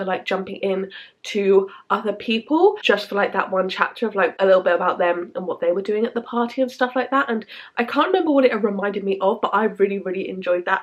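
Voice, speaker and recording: A young woman from the UK chatting casually about a book she just finished, a bit blocked up from hay fever, full of "like" and "um". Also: medium pitch. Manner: chatty, rambling, lots of "like" and "um". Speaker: book vlogger. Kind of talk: casual reading vlog chat.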